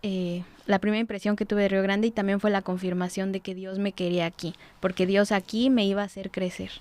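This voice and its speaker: A woman, a reserved, soft-spoken guest chatting about her story. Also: mid-range voice, little pitch movement, quick pace. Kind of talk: casual conversation.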